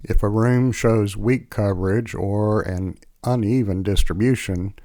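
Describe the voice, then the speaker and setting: A man presenting a software demo as scripted tutorial narration, in a measured, explanatory way on a close mic. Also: fairly low pitch, fairly even intonation, dry recording.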